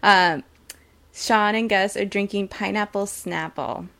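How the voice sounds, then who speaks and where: A woman with a mid-pitched voice and plenty of vocal fry. She talks casually as a podcast co-host, trading banter in a TV recap. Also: slow pace, fairly bright tone.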